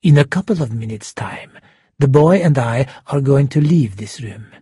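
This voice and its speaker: A man voicing an angry, cold gunman in an audiobook, giving hard, clipped, often hushed threats. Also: medium pitch, expressive, normal speed, slightly rough, no echo, clean studio sound. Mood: cold, commanding. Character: menacing, angry male gunman, cold and commanding. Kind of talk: audiobook character voice.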